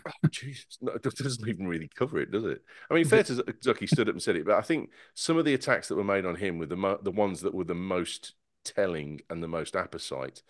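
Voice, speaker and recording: Male panelist, low-pitched, dry close mic, sarcastic and animated. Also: quick pace, no room sound. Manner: animated, sarcastic, stumbling restarts. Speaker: podcast panelist. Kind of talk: casual panel chat.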